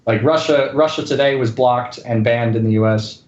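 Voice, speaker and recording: A man's voice: mid-range voice, normal speed, darkish tone, slight background noise. A man speaking casually as an interview guest, with a hesitant delivery full of fillers. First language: English and Spanish. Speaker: guest, correspondent and news anchor. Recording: dull lo-fi roomy sound.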